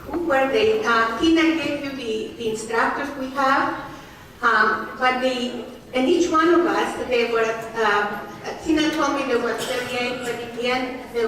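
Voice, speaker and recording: A woman's voice: high voice, fairly bright tone. Greek-accented older woman in an echoey hall. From Cyprus. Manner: hesitant, with many fillers and restarts. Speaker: nursing graduate speaking at an anniversary event. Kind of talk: reminiscing speech to an audience. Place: large room with an audience. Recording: very echoey hall, voice from far off, faint noise.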